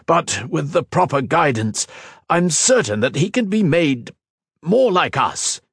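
A man's voice: a little high, very animated, a little slow, vocal fry, fairly bright tone, husky, no room sound, clean studio sound, voice pushed up, full character voice. A man voicing an anguished male scientist who pleads for his creation in a strained voice. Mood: desperate. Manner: pleading, strained, rising to outbursts.

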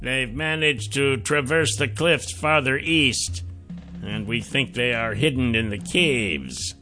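A man's voice: mid-range voice, expressive, takes it slowly, pushed and bright, soft background music, pitched above the performer's own voice. A man voicing a stern, stony male ranger who answers in short, clipped reports. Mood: grave.

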